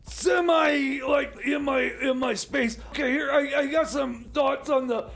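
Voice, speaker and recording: A man voicing a rattled, drunken oddball sidekick in radio comedy, stammering and rambling excitably. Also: extremely high pitch, a little slow, vocal fry, raised voice, heavily acted. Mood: befuddled. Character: rattled, drunken, high-voiced oddball sidekick and movie critic. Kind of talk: improvised comedy radio theater.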